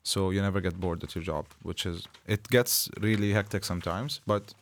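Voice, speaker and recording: A man's voice: sits low, fairly even intonation, moderate pace. A young man from Jordan, an Arabic speaker, answering interview questions casually and explaining things patiently with plain examples. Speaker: interview guest, school operations officer. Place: school radio room.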